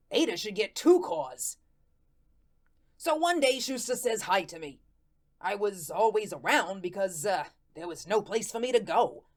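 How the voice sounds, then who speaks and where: A woman playing a naive, lovelorn male ghost who narrates his story in folksy, slangy talk, in the style of a radio play. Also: mid-range voice. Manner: folksy, colloquial, guileless storytelling.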